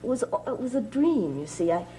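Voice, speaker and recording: An actress playing an anxious, stubborn middle-aged mother in a melodramatic gothic soap opera, with halting, hesitant phrasing. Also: fairly high pitch, very animated, slight background noise, rough recording. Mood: hesitant, troubled. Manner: halting, hesitant, repeating words. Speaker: actor in a TV drama. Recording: old TV soundtrack under loud music.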